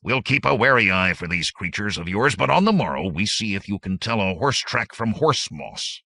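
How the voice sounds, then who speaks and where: A man voicing a gruff, authoritative bandit lieutenant in an audiobook, barking his words. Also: lowish voice, big pitch swings, normal speed, sharp tone, no echo, voice pushed up, exaggerated voice. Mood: firm, barking. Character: gruff, commanding one-eyed bandit lieutenant.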